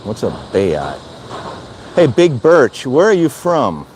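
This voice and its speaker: A man's voice: mid-range voice, huge pitch swings, takes it slowly, a little mellow, slight background noise, low-quality recording. Chatty older man streaming outdoors. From Wisconsin, USA. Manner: chatty, rambling, repeats himself. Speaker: live streamer. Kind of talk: casual live-stream chat answering viewer comments. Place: outdoor city balcony.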